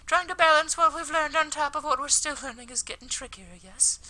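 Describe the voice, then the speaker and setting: A man voicing a kind, earnest high school girl, politely apologetic and gently teasing, in a visual novel. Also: fairly quick, slight background noise, heavily acted, low-quality recording. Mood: earnest, encouraging. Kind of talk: visual novel character read aloud.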